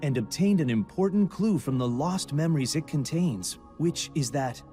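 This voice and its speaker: A man's voice: a little high, animated, normal speed, mellow, soft background music, close to an everyday voice. An actor playing a studious, composed young male researcher in a video game, precise, formal and analytical. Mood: thoughtful.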